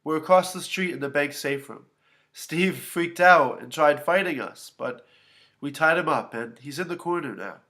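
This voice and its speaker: A man voicing a loving, worried father in a narrated story, trying to sound brave, tender and halting. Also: medium pitch, lively intonation, sharp tone. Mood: soothing.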